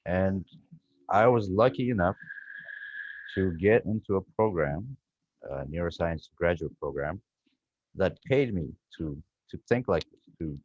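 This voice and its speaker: Guest: deep-voiced man, reflective and rambling, dry lo-fi video call sound. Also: a little mellow, slightly rough, no room sound. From Ethiopia. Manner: reflective, rambling with frequent "you know" and restarts. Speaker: guest researcher. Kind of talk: conversational storytelling on a video call. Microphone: video call microphone.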